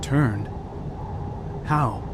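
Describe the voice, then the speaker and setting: A man's voice: mid-range voice, extremely slow, noisy background. An actor playing the sober, businesslike male leader of a polar expedition in an audio drama. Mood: sober, questioning.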